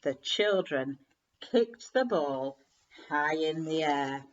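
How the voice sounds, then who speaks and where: A woman reads a children's story in a slightly low voice, with plenty of rise and fall. She takes her time over each phrase. The recording is a little dull. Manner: measured, animated storytelling. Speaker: story reader. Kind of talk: expressive children's storybook read-aloud.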